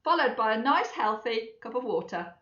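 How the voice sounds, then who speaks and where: A woman's voice: on the high side, lively intonation, normal speed, pushed and bright. A woman voicing a stern, emphatic mother elephant from a children's storybook, exasperated but affectionate. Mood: firmly cheerful. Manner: emphatic, declarative, bossy. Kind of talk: children's storybook read-aloud. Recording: echoey room, slightly dull sound.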